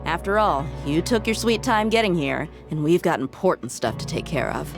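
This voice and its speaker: A woman's voice: deep voice, normal speed, over music. An actress playing a confident, breezy young female mercenary in a video game, with a playful, teasing manner. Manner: playful, smooth teasing, self-assured.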